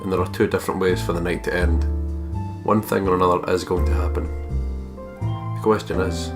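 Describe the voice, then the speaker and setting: A young Scottish man with a fairly low voice co-hosts a let's play. He reads on-screen text and jumps in with sweary, exuberant jokes. Music plays behind him. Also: level tone, brisk. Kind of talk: reading game text aloud with casual commentary.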